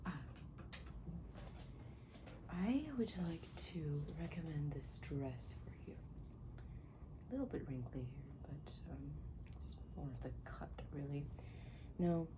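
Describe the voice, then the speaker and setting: Low, soft-spoken ASMR woman. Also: noisy background. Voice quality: soft, breathy, often dropping into a whisper. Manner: gentle, polite, attentive, unhurried. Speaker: ASMR performer roleplaying a personal stylist.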